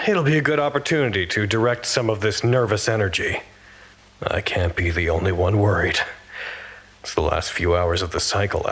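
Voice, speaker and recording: A man's voice: lowish voice, a bit bright. A man playing an earnest, anxious facility worker who reports to command in a wordy, formal audio drama monologue.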